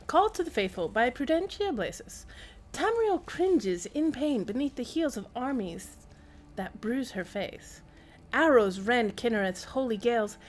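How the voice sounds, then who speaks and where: A woman game streamer who reads in-game text aloud and adds casual commentary. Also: a little high.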